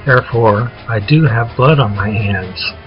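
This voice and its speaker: A man's voice: medium pitch, a little slow. A man, a publisher, announcing in mock-sinister tones like a menacing train conductor. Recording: dull sound with music.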